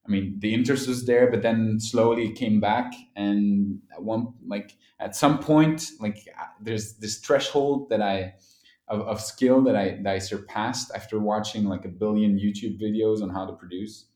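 A man's voice: lowish voice, fairly even intonation, moderate pace, dark tone, reverberant. A man from Belgium, a musician guest on a podcast call, chatting casually with lots of hesitations and restarts. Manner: hesitant, many fillers and restarts. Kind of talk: casual interview chat. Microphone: phone call.

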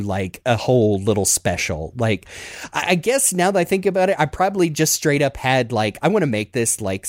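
A man's voice: medium pitch, no room sound. Guy in his 30s podcasting, chatty and self-deprecating, kinda rambles, dry sound. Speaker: podcast host. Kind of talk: casual reminiscing chat.

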